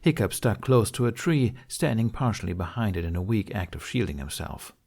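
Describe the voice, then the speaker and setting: A man narrates a fantasy audiobook from a script. His voice is fairly low, with a noticeable creak and gravel in it. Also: expressive, fairly quick, darkish tone.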